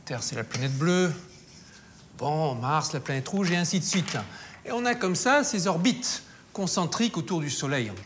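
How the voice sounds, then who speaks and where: A man's voice: a little high, expressive, moderate pace, fairly bright tone, slight background noise. Man explaining science step by step. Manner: didactic, step-by-step, with hesitations. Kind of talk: documentary explanation.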